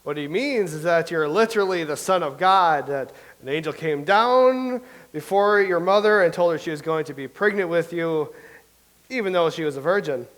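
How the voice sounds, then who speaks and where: Insistent, fairly high-voiced male believer, acted. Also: expressive, normal speed, a bit bright, rough recording. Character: earnest believing man, insistent explainer shaken in faith. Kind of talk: staged play reading.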